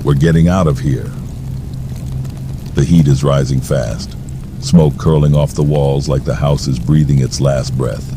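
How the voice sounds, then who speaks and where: Man playing very deep-voiced ruthless villain, Trey. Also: steady tone, measured pace, background noise. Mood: menacing commands. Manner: snarling, cold, commanding. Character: Trey, menacing, ruthless armed male villain narrating his arson. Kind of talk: first-person audio drama narration with dialogue.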